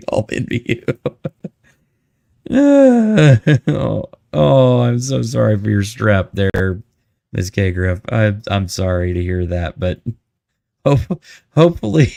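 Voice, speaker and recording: A man's voice: on the low side, vocal fry, darkish tone, no room sound, clean studio sound. A man hosting a live stream with casual, joking commentary and asides to his chat.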